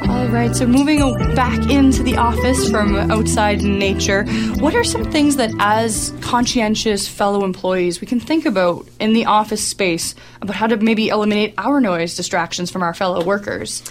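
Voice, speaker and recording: A woman's voice: mid-range voice, normal speed, music in the background. A woman hosting a radio talk show, asking long rambling questions in a conversational way.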